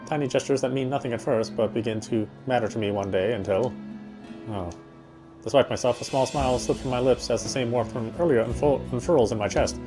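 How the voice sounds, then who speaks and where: A man's voice: mid-range voice, a little fast, close to an everyday voice. An actor playing a tender, self-deprecating young man in a visual novel, introspective and earnest, with guitar music behind him. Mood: tender, reflective. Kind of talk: first-person visual novel narration and dialogue.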